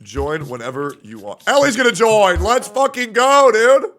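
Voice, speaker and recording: A man's voice: sits high, sing-song, moderate pace, a bit bright, faint music behind. A man hosting a gaming stream, chatting on a voice call with crude, teasing banter. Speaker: streamer host.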